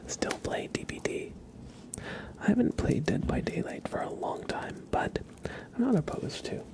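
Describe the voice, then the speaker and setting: A man speaking in a soft, breathy whisper, chatting casually with viewers as an ASMR livestream host. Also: high-pitched, expressive, measured pace.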